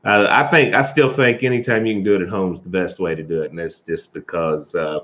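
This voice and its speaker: A man's voice: deep voice, fairly even intonation, fairly quick, a bit bright, lo-fi sound. A man hosting a live stream, chatting loosely with viewers, chuckling often and coughing from allergies. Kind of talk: casual chat with live viewers.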